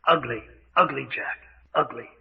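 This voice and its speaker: A man's voice: medium pitch, moderate pace, vocal fry, lightly acted. A man playing a weary, despairing captive in an old-time radio drama. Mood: bleak despair. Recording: distant, muffled radio drama with hiss.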